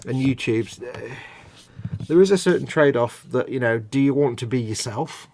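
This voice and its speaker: A man's voice: medium pitch, moderate pace, a little mellow. A British man hosting a live model-building stream, chatting casually and self-deprecatingly, often restarting his sentences. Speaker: host of a model-building channel. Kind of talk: casual chat on a livestream.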